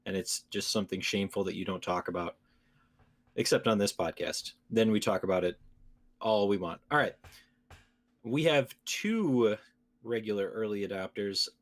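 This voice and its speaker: A man's voice: lowish voice, normal speed. A man hosting a gaming podcast, talking casually and conversationally with his co-hosts. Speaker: podcast host.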